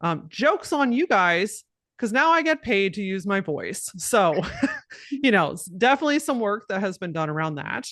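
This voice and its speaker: A woman with a low voice talking bluntly and directly as a podcast guest, cussing freely and laughing often. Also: big pitch swings, normal speed, fairly bright tone, dry recording, studio quality. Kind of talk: casual podcast conversation.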